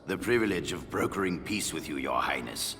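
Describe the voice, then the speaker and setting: An actor playing a courtly, scheming foreign diplomat in a video game, speaking in a formal, measured way. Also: male voice, very deep voice, animated, sharp tone, exaggerated voice. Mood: courtly, flattering. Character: smooth-talking male foreign diplomat, secretly scheming. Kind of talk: video game cutscene acting. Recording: reverberant hall under clear music.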